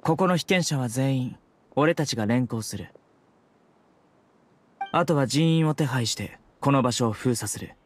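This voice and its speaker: An actor playing a stern, dutiful but considerate guard officer in an anime game, firm, terse and commanding. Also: male voice, medium pitch, expressive, a little slow, pauses often. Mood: firm, businesslike. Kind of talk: anime video game voice acting.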